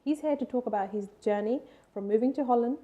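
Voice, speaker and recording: A woman's voice: on the high side, quick pace, mellow. A woman hosting a talk show and interviewing a guest with friendly, curious questions. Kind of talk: interview chat. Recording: tinny phone-like sound.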